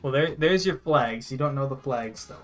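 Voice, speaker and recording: A man's voice: mid-range voice, moderate pace. A young adult man chatting casually as a co-host and commenting on a guessing game. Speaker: co-host giving hints to the player. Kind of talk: casual gameplay chat. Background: music in the background.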